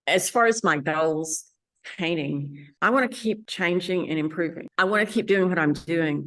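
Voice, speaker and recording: A woman's voice: low voice, gravelly. A middle-aged woman from West Texas with a West Texas accent, an artist speaking in an earnest, easygoing, reflective way in a gallery interview. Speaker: landscape painter. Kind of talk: personal interview monologue. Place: art gallery.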